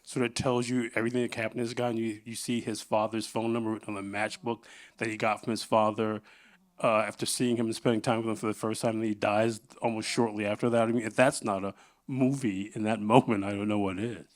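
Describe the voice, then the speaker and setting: A man's voice: on the low side, level tone, quick pace. A man hosting a conversational on-stage interview as the panel moderator.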